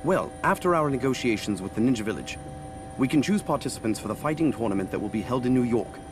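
A man's voice: mid-range voice, lively intonation, fast talker, background noise, natural voice. A man playing a devoted, earnest butler in a video game, formal, deferential and dramatic. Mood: formal, businesslike.

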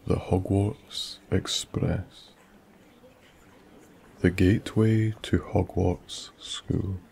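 Scottish man, bedtime story narrator, low gravelly voice, mellow, soothing whispery delivery. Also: flat delivery, a little hiss or hum. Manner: slow, hushed, soothing, drifting into whispers.

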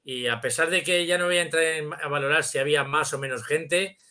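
A man's voice: a little high, brisk, bright, forceful tone. A middle-aged man with a Spanish accent from Spain, chatting casually and telling anecdotes in colloquial language over a video call. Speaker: guest. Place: indoor room, on a video call.

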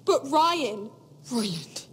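Anguished castle noblewoman for a fantasy game; high, slow, pleading delivery. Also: expressive, a bit bright, husky, exaggerated voice. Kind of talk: dramatic video game acting.

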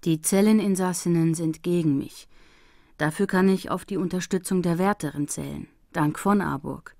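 Guarded, mellow-voiced woman detainee. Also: fairly low pitch, normal speed, gravelly, no echo, clean studio sound. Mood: guarded, determined. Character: detained policewoman, guarded and determined. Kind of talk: German crime audio drama.

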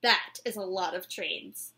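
A woman in her twenties doing an expressive, theatrical picture-book read-aloud for children, drawing out words and putting questions to her young listeners. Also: fairly low pitch, a little slow, breathy edge. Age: 20s. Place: small decorated room indoors.

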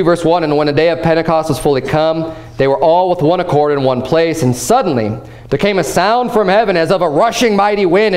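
Man in his thirties, pastor, lively conversational sermon, fairly high voice, church platform. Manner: animated, gesturing, conversational preaching. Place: church platform before a painted backdrop.

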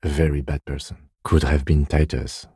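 An actor playing a calm, methodical middle-aged police lieutenant in a video game, measured, understated and dryly wry, with a husky voice. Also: male voice, extremely low pitch, no echo, clean studio sound, full character voice. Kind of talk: video game character dialogue.

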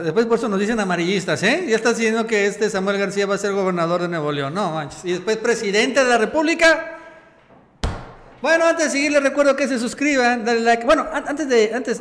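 The high-pitched Mexican male host of an online politics show, ribbing his guest in street slang from a studio that rings with reverb. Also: fairly bright tone. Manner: teasing, colloquial. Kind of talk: informal political talk show. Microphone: desktop microphone in front of him.

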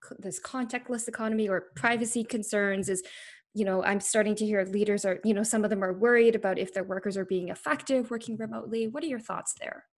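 A woman's voice: medium pitch, fast. A young woman on a laptop video call, moderating an online panel with a casual, "like"-filled way of asking questions. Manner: casual, frequent "like". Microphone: laptop webcam video call.

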